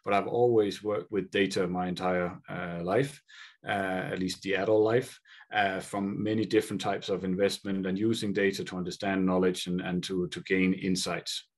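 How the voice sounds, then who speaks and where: A man from Denmark and Sweden with a Scandinavian accent, giving a conference presentation and pausing often on fillers and repeated words. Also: deep voice, level tone. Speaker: conference speaker. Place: video link from Colombia.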